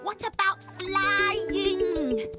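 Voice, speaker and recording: A woman's voice: very high voice, extremely slow, over loud music, exaggerated voice. A woman voicing a bossy, scheming spider in a children's cartoon, with an exclamatory, exasperated manner.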